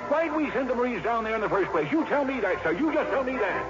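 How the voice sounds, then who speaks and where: A man's voice: very high-pitched, sing-song, quick pace, over loud music. A man playing a blustery, argumentative middle-aged father in a 1950s radio comedy. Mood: indignant. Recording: dull vintage 1950s radio recording.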